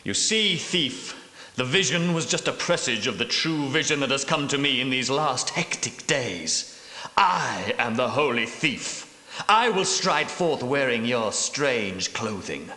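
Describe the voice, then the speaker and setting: An actor playing a solemn, commanding medieval knight and prophetic leader, speaking in grave, formal, archaic oratory for a video game. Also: male voice, medium pitch, expressive, a little slow, pushed and bright. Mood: triumphant, prophetic. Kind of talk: theatrical video game character dialogue. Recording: roomy echo, slightly distant from mic.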